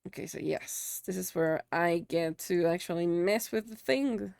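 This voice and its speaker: A woman's voice: fairly low pitch, a little slow, creaky, darkish tone, dry recording. A young woman whose first language is Spanish, streaming live and chatting casually with viewers, thanking them and muttering to herself as she works. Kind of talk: casual live-stream chat while working.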